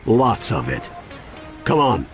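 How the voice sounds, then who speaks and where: Animated, commanding old grandpa. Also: male voice, lowish voice, slow pace, music in the background, heavily acted. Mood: resolute. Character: tough, protective burly grandfather, earnest adventurer.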